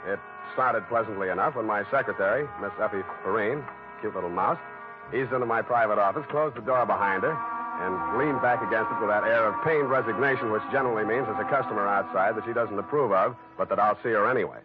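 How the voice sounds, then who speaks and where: An actor playing a cynical, hard-boiled American private detective in a 1940s radio drama, dry and offhand. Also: male voice, on the low side, fairly quick, over music, close to an everyday voice. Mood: businesslike, wry. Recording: noisy old radio, tinny narrow band.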